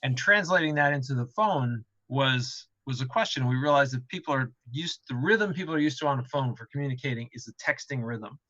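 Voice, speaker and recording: A man's voice: mid-range voice, lively intonation, fairly quick, hardly any pauses, a bit bright, highs cut off. A man speaking casually as a game developer on a panel, thinking aloud and illustrating his points with quoted asides. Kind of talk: casual explanatory panel talk.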